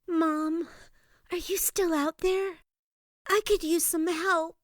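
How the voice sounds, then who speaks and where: A woman's voice: very high-pitched, steady tone, slow pace, dry recording, studio quality. A woman playing a young, tender-hearted and spirited princess in an audiobook, talking to herself in an earnest, theatrical way. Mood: sad, longing. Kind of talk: audiobook character acting.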